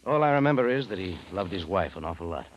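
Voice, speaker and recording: A man's voice: low voice, very animated, fast, a little hiss or hum. A man acting a wry, tough American adventurer in a hard-boiled 1950s radio detective drama. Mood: knowing, casual. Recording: muffled old radio, music behind.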